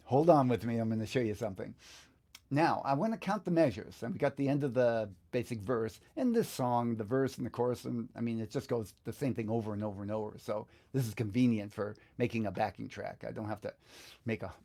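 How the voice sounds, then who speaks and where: A middle-aged man with a relaxed, gravelly voice at a medium pitch teaches step by step. His delivery is informal and unhurried, as he talks himself through each task. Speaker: guitar teacher giving a software tutorial. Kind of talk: casual step-by-step tutorial with thinking aloud.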